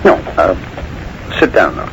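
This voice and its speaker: An actor playing a menacing, short-tempered, scheming husband and playwright in a 1940s radio mystery. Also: male voice, a little slow, highs cut off, natural voice. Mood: smooth, menacing. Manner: smooth veiled threats swinging to irritated insistence. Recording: noisy vintage 1940s radio broadcast.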